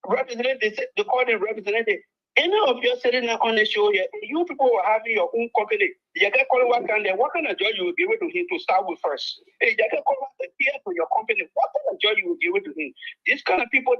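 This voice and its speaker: A middle-aged Liberian man calling in to a talk show, rambling and indignant about his country. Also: extremely high pitch. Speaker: caller. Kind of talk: call-in political commentary. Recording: muffled phone line.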